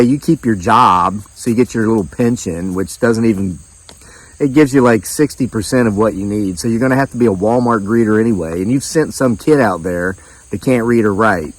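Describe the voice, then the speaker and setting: A hoarse man hosting his own channel, talking to camera in an indignant, folksy way and reading out viewer comments. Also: on the low side, poor audio. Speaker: channel host.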